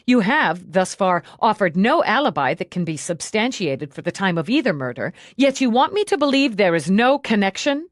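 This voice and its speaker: A woman's voice: medium pitch, big pitch swings, moderate pace, hardly any pauses, dry recording, clean studio sound, lightly acted. A woman voicing a hard, relentless female police lieutenant in an audiobook, pressing a suspect with confrontational questions. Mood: accusing. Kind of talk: audiobook character dialogue.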